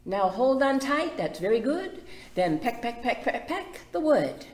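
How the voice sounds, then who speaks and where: A woman's voice: on the high side, very animated, unhurried, a little mellow, pitched above the performer's own voice. A woman voicing a loving, proud father woodpecker in a tender, gushing picture-book read-aloud. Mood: proud, encouraging. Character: proud, loving daddy woodpecker encouraging his child. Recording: echoey room with background noise.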